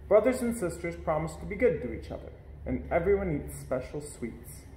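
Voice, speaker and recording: A man reading a children's story aloud in an expressive narrating style. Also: fairly high pitch, normal speed, slight background noise. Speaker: storybook reader. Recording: echoey room.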